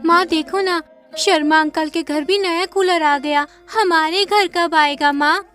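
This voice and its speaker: A woman's voice: very high-pitched, fairly even intonation, unhurried, a bit bright, faint music behind. A woman voicing a small whining poor girl in a cartoon story.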